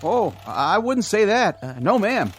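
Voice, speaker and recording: A man's voice: sits high, sing-song, a little slow. A man playing a folksy, nervously agreeable small-town grocery man in a radio drama, hesitant and over-cheery. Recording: music under the voice.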